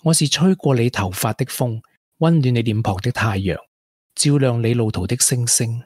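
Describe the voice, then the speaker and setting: Actor as a husky, caring young Hong Kong man. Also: medium pitch, normal speed, darkish tone, no room sound, studio quality, natural voice. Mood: reading poems solemnly. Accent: Hong Kong Cantonese. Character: supportive, down-to-earth young boyfriend, caring and sensible. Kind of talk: Cantonese radio drama acting.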